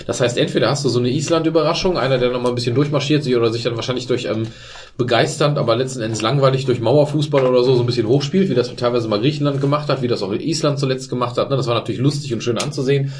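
A man's voice: mid-range voice, very fast, a little mellow, a little hiss or hum. A man chatting casually with friends about football, rambling and restarting his sentences, often tagging them with a questioning "ne?".

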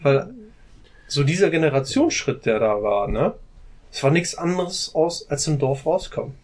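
A man's voice: fairly high pitch, animated, normal speed, breathy edge. A man in a casual group discussion, talking at length and often ending phrases with a questioning "ne?". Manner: talkative, often adds a questioning "ne?".

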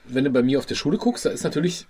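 A man's voice: mid-range voice, animated, racing, darkish tone, slight background noise. A man talking casually in a group podcast discussion, rambling, with frequent restarts.

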